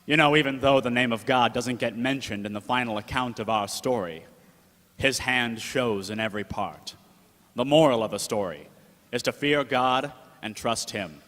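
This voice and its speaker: A man's voice: mid-range voice, normal speed, a bit bright, close to an everyday voice. A man playing a gangster's-tale narrator in the style of old 1930s radio drama. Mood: earnest. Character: Mordecai, gangster-tale narrator, loyal uncle turned second in command. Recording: echoey hall, long reverb.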